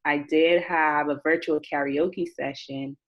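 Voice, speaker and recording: A woman's voice: low-pitched, steady tone, unhurried. A woman of twenty-eight, chatting casually and candidly as an interview guest and repeating phrases for emphasis, over a video call. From Nassau, Bahamas. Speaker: interview guest, chemistry graduate student. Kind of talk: casual chat. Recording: dull, lo-fi video call audio.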